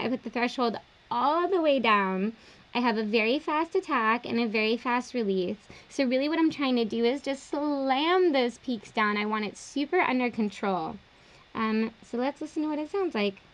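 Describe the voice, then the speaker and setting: A young woman producer casually walking through her vocal processing in a home studio, relaxed and chatty with plenty of fillers. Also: on the high side, low-quality recording. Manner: relaxed, explanatory, uses fillers like um and like. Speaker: music producer. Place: small home studio indoors.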